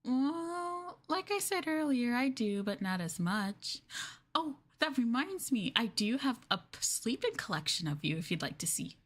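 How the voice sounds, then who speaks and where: A woman playing a clingy, lovestruck yandere girlfriend in an ASMR roleplay, soft, coy and doting, with a hesitant, flustered way of talking. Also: fairly high pitch, big pitch swings, a little slow, full character voice. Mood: adoring, possessive.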